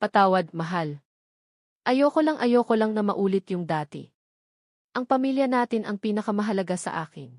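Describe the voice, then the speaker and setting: A woman's voice: medium pitch, animated, normal speed, darkish tone, dry recording, close to an everyday voice. Female narrator as businessman husband, Filipino accent, serious, firm, worried, audio drama, dry sound. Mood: apologetic.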